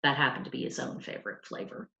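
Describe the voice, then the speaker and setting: A woman reading a children's novel aloud as a storyteller, with an animated, deliberate delivery. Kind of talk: expressive scripted audiobook narration for children. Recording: roomy, dull lo-fi home recording.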